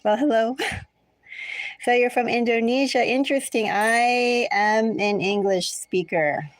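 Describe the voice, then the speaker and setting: A woman's voice: on the high side, unhurried, no room sound. A woman with an American accent, chatting casually and in a rambling way as she hosts a tarot reading livestream. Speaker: tarot reader hosting a livestream. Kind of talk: casual livestream chat with viewers.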